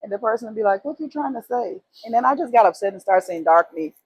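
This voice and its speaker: Husky Guyanese woman, earnest. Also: fairly high pitch, lively intonation, fast, low-quality recording. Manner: earnest, emphatic, repeats key phrases. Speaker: guest, esthetician and business owner. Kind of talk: casual interview answers.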